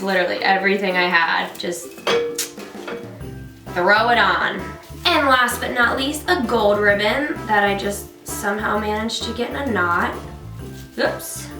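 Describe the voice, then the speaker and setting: A young woman with a stuffed-up, nasal voice, joking her way through a casual kitchen tutorial. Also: medium pitch. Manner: bubbly and jokey. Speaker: vlogger. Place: home kitchen, loud stove. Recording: roomy, mic at a distance, music behind.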